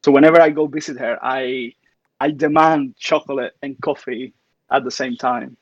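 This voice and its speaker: A man's voice: medium pitch, fairly even intonation, moderate pace, slightly rough, no room sound. Man from Cuba, casual stream host, laughs a lot; lo-fi, dead-dry audio. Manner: chatty, laughs often, trails off. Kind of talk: casual chat. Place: home studio, livestream.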